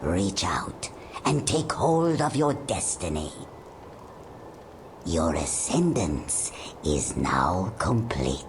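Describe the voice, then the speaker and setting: A woman voicing an ancient, all-seeing female prophet in a video game, speaking in a breathy, whispery way with slow, solemn pronouncements. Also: extremely low pitch, very animated, background noise, exaggerated voice. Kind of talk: video game cutscene voice acting. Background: orchestral game music.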